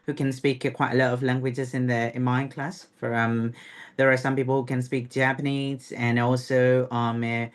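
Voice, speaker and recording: A man's voice: medium pitch, flat delivery, moderate pace, smooth. A young man from Myanmar with a Burmese accent, a teacher educator presenting a webinar over a video call, hesitant with many fillers.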